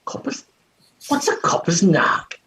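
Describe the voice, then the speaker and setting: An actor playing a brusque, overbearing gentleman in a staged play reading, blunt and scolding, with echoey, muffled sound. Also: a little slow. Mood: impatient, brusque. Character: brusque, overbearing gentleman note-taker, impatient.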